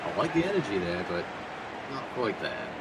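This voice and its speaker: A man with a slightly raspy voice as color commentator on a video game match, casual and quick to react. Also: on the low side, very animated, a crowd in the background, noisy background.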